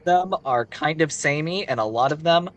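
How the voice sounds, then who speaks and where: A man chatting casually and improvising a story on a live stream, in a halting, rambling way with many restarts. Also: fairly high pitch. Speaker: streamer telling a fairy tale while gaming.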